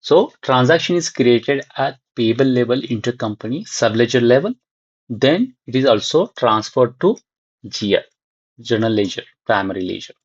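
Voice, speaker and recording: A man giving an unscripted screen-share software tutorial, thinking aloud in short, halting fragments. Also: medium pitch, expressive, husky, dry recording, lo-fi sound.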